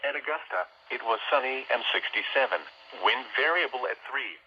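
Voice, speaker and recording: A man's voice: medium pitch, expressive, a little fast, dull audio, over a noisy crowd. A synthetic-sounding man reads a formulaic weather bulletin, played through a small radio speaker. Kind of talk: scripted weather bulletin readout.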